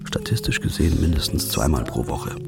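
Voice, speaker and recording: A man playing a weary, haunted first-person narrator in a radio drama, with a dark, brooding delivery. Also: extremely low pitch, wildly swooping pitch, fairly quick, vocal fry, heavily acted. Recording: music underneath.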